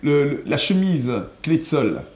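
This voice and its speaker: Lively low-voiced woman hosting. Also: measured pace, a loud crowd. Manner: lively, playful questioning with teasing asides. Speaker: talk show host. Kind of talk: TV studio interview. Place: TV studio. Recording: roomy studio sound.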